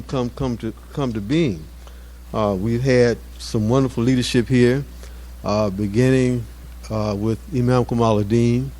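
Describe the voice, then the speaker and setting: Halting older man, informal public address. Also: medium pitch, a little mellow. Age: 70s. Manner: halting, repeats words and searches for phrases. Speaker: mosque community elder speaking at an anniversary event.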